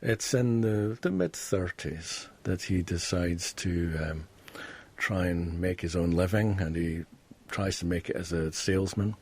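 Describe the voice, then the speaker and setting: A man speaking haltingly as an academic expert in an interview. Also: sits low, a little mellow, husky. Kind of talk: explanatory interview talk.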